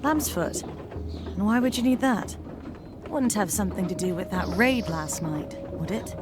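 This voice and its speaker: A woman's voice: medium pitch, lively intonation, a little slow, music in the background, close to an everyday voice. A woman voicing a cheeky, blunt young female rabbit farmhand in a fantasy game, with a teasing, sardonic manner. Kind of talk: visual novel voice acting.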